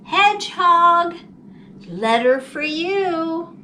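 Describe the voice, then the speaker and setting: A woman's voice: very high voice, animated, drawn-out words, pushed and bright, voice pushed up, full character voice. A woman voicing a shy, kindly old mailman from a storybook, with terse, polite singsong calls. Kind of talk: storybook character voice. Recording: distant mic, faint background noise.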